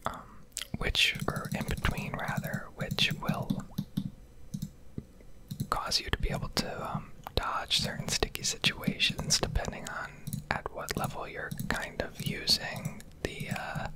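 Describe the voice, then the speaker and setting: A woman's voice: unhurried, low-quality recording. A woman doing ASMR commentary over gameplay, rambling and explaining in a whisper at a close microphone. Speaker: gaming video creator.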